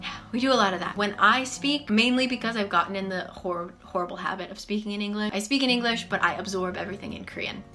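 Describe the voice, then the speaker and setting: Bright chatty American woman in her twenties. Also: medium pitch, fast talker, soft background music. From United States. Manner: chatty, lots of "like" and "um", animated. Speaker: vlogger. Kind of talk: casual Q&A chat to camera. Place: small bedroom office, indoors.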